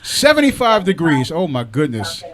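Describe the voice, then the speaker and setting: A man's voice: a little high, huge pitch swings, normal speed. A jovial man hosting a casual radio chat, often repeating his phrases. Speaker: radio host. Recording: busy chatter in the background.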